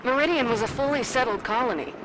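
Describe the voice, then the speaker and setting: A woman's voice: a little high, fast talker, noisy background, close to an everyday voice. A woman playing an earnest, analytical super-soldier in a video game, questioning what she finds. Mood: puzzled, insistent. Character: earnest, inquisitive female armoured super-soldier. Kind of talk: video game cutscene acting.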